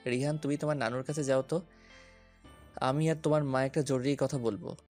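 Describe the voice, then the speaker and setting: A man's voice: medium pitch, fairly even intonation, brisk, a little mellow, soft background music, lightly acted. An actor playing a domineering young policeman suitor in a romantic audio story, commanding and taunting. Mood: firm.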